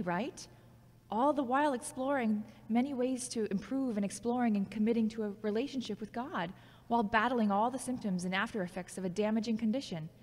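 A woman's voice: a little high, a little mellow, close to an everyday voice. Woman acting frank, logical woman, reverberant room. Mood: encouraging. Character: frank, logical, critical yet caring woman, former girlfriend. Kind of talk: scripted audio drama dialogue. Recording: long hall-like reverb.

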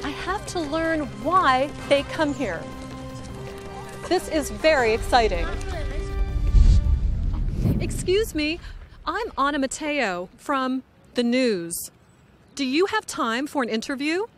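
A middle-aged woman reporter interviewing tourists outdoors with a handheld mic, speaking slowly and clearly for learners. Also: sits high, big pitch swings, over music. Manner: upbeat, enunciates carefully. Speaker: reporter host of an English lesson. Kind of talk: slow, clear scripted interviewing. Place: outdoors on city streets. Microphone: handheld microphone.

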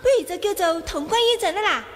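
A woman's voice: extremely high pitch, animated, fast. A playful young swordswoman. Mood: teasing. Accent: Hong Kong Cantonese. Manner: coy, teasing banter. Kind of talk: 1980s radio drama acting. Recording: 1980 radio drama, loud music and crowd.

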